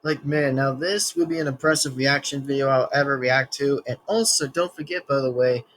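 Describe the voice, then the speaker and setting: A man's voice: mid-range voice, steady tone, normal speed, a bit bright. A man in his early twenties hosting a reaction video, rambling and stumbling through restarts as he reads text aloud. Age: 20s. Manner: rambling, many restarts and stumbles while reading. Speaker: reaction channel host.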